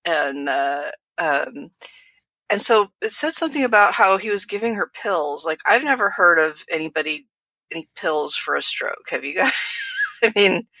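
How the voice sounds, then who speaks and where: A woman's voice: lowish voice, expressive, normal speed, sharp tone, no room sound. A woman co-hosting a podcast, talking through a book in a casual, tentative, musing way. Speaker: podcast co-host. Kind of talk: casual book discussion chat.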